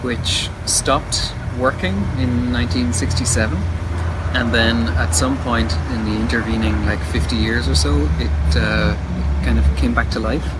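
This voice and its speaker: A man's voice: medium pitch, steady tone, a little slow. A man from Dublin with an Irish accent, casually explaining things as an artist being interviewed outdoors. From Dublin, Ireland. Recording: loud outdoor traffic and urban noise.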